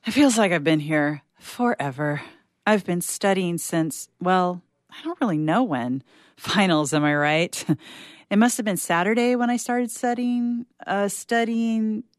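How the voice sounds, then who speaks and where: A woman's voice: mid-range voice, a little slow, no room sound, clean studio sound, lightly acted. A woman playing a stressed, sardonic young university student in a rambling, slangy, self-mocking story monologue. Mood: wry, dazed. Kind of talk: dramatic monologue reading.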